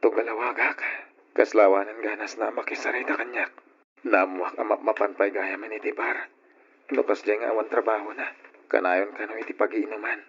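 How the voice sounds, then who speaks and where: A man's voice: fairly low pitch, steady tone, normal speed, fairly bright tone, slight background noise, lightly acted. A man playing a lovesick, worried young nephew working abroad in an old radio drama, with a plaintive Filipino accent. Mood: troubled. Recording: dull old radio recording, music behind.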